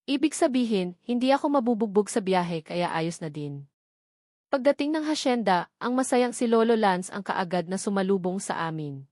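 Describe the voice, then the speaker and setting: A woman's voice: medium pitch, animated, moderate pace, darkish tone, no room sound, studio quality. A woman reading a scripted first-person novel as a story narrator. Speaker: audiobook narrator.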